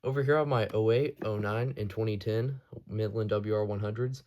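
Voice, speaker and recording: A man with a fairly low voice talks through a hobby collection in an informal video. His delivery is matter-of-fact and unscripted, like someone casually showing items one after another. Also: fairly even intonation, fairly quick, a little mellow. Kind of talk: casual show-and-tell narration.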